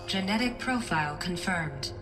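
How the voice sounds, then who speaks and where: A woman's voice: fairly low pitch, lively intonation, normal speed, over loud music, close to an everyday voice. A woman voicing an impassive door computer in a video game, giving formal, procedural announcements. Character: impassive female computer of an ancient security door.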